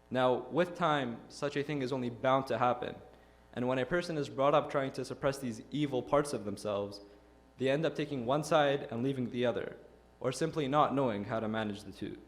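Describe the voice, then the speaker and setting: A young man from Iraq giving an earnest, measured prepared talk to a youth audience, posing rhetorical questions. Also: mid-range voice. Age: young adult. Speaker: youth speaker. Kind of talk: prepared speech.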